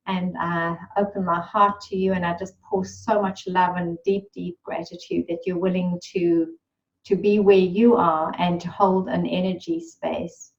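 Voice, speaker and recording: Woman, meditation guide, slow and soothing, lowish voice, dull lo-fi audio. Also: darkish tone. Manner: slow, soothing and unhurried, with long pauses.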